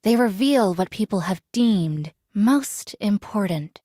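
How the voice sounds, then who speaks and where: Ancient warrior woman, dutiful and resolute, formal measured delivery, video game character, mid pitch, dry sound. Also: animated, mellow, no room sound. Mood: grave, formal. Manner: formal, measured, archaic phrasing.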